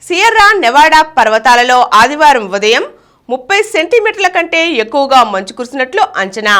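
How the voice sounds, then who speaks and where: A woman news reader delivers scripted bulletins. Her voice sits high and has a bright, forceful edge. Her intonation is animated, rising and falling widely through the copy.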